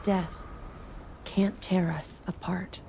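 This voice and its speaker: An actress playing a wistful, solitary woman in a video game, narrating reflectively with measured pauses. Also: on the low side, little pitch movement, vocal fry, dark tone, whispered, heavily acted. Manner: measured, pausing between phrases. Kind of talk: slow, reflective scripted narration.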